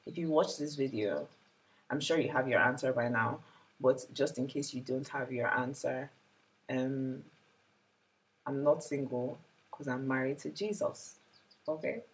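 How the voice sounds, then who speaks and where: A woman's voice: a bass voice, a little slow, pauses often, rough recording. A woman in her twenties chatting casually and reflectively to her vlog audience, with many "like" fillers. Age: 20s. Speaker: vlogger. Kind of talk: casual year-in-review chat.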